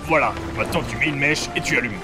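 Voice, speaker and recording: A man's voice: mid-range voice, quick pace, lightly acted. A man playing a sarcastic, easily exasperated pirate captain in a comedic French audio drama. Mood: impatient instructing. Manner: irritated, sardonic. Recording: loud music bed behind.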